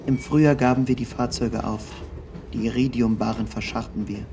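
A man reading a scripted literary narration in the first person, with measured, precise and formal diction. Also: medium pitch, fairly even intonation, warm tone, a little hiss or hum.

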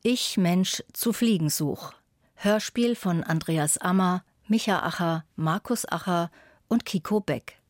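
A woman's voice: fairly low pitch, takes it slowly, no room sound, clean studio sound. A woman reading scripted credits as the announcer of a radio play.

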